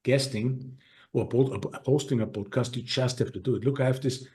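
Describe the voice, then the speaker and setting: A man's voice: medium pitch, fairly even intonation, a little mellow. A man with a heavy Austrian accent, talking earnestly as a podcast guest and restarting his sentences often. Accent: Austrian German. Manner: earnest, halting with many restarts. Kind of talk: casual interview talk.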